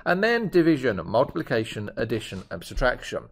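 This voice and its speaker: A man's voice: medium pitch, sing-song, a little fast, vocal fry. A man in his fifties with a British accent that is drifting toward Australian, teaching a maths lesson over a screen recording with jokey, chatty asides. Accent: British, shifting toward Australian. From Britain.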